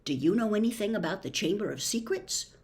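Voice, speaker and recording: A woman's voice: mid-range voice, lively intonation, fairly quick, a little mellow, close to an everyday voice. A woman voicing an eager young schoolboy wizard, urgent and breathless as he writes back.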